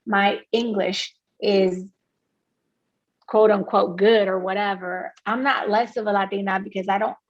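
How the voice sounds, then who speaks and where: A woman's voice: medium pitch, steady tone, measured pace, dull audio. A woman from Puerto Rico, a guest in an interview, talking earnestly with many restarts and fillers. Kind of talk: candid interview conversation.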